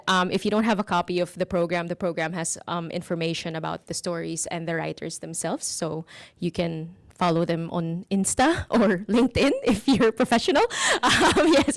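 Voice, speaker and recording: A woman's voice: lowish voice, no echo. Chatty woman hosting an event. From Philippines. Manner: chatty, laughs often, many fillers. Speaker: event host. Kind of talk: casual live introductions.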